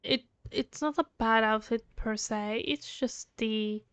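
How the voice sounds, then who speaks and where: A woman doing casual, chatty game commentary in an unhurried, hesitant way, picked up unevenly by a gated microphone. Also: on the high side, fairly bright tone, clear, resonant tone, no room sound. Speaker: game streamer. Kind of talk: casual game playthrough commentary, reading dialogue aloud. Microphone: gated microphone, uneven pickup. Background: game music.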